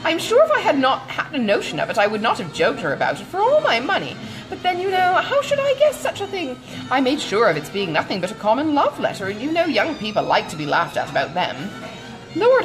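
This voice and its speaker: Casting: female reader as excitable older matron for a storybook, good-natured gossip, gushing, very animated swoops in pitch, music underneath. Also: brisk, poor audio. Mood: flustered, concerned. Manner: gushing, exclamatory, rambling.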